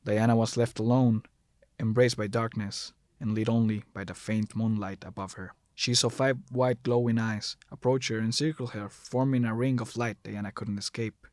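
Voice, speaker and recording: A man's voice: fairly low pitch, fairly even intonation, normal speed, a little mellow, dry recording. A man delivering scripted, dramatic narration for a horror audio drama, close on the mic.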